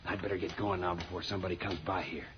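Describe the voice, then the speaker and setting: Man acting a low-voiced plucky radio-serial sidekick. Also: level tone, racing, noisy background, lightly acted. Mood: reassuring. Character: plucky, reassuring male sidekick on a rescue. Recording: muffled vintage radio with music behind.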